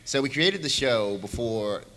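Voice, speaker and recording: Bright-voiced man on a noisy panel. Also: mid-range voice, animated, unhurried. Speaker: panelist, creator of an audio series. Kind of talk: convention panel question and answer. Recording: noisy convention panel room.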